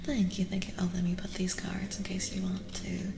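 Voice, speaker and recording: A woman doing a soft-spoken ASMR tarot reading, moving between a breathy whisper and a soft voice, soothing and gently reassuring. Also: mid-range voice, completely flat delivery. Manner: soothing, gently reassuring, repeats phrases slowly. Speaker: ASMR streamer and tarot reader. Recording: reverberant echo with music behind. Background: music.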